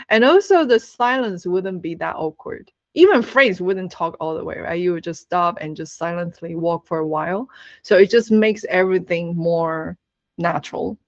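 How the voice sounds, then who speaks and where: A woman's voice: on the low side, no echo, dull audio. A woman from Taiwan with a Taiwanese accent, speaking English as a webinar guest, earnest and laughing often, with many fillers. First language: Taiwanese Hokkien. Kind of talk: presenting in a webinar.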